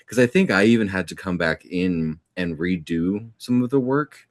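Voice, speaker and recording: A young man with a very low, deep voice talks casually about his acting work as an interview guest. He sounds easygoing and reflective and leans on fillers as he thinks. The recording is dry, with no room sound around him. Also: expressive, normal speed, no echo. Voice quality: deep natural speaking voice. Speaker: voice actor guest.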